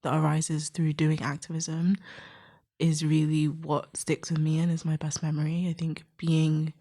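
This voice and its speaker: A woman in her twenties gives reflective answers as a youth activist. Her voice sits low and has a soft, relaxed tone. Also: unhurried. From Nigeria. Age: 20s. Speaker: youth activist, podcast co-host.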